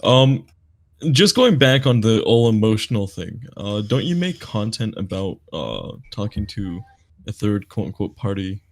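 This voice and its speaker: A man's voice: fairly low pitch, sing-song, unhurried, no room sound. Hesitant man cutting in with questions. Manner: hesitant, filler-heavy. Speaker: third-party participant.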